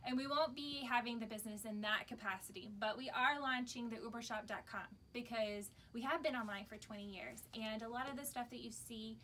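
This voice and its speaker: A woman's voice: fairly high pitch, a little fast, hardly any pauses, pushed and bright. An American woman in her thirties making a casual video announcement to customers as a shop owner, recorded indoors a few steps from the camera. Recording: background noise, camera from a distance.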